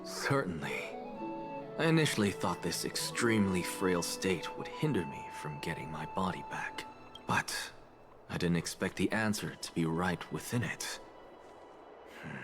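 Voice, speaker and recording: A man's voice: low voice, fairly bright tone. A man voicing an aloof, arrogant scholar in a game cutscene, cool and curt. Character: aloof, arrogant male scholar sharing his body with a titan. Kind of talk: scripted game cutscene dialogue. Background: music.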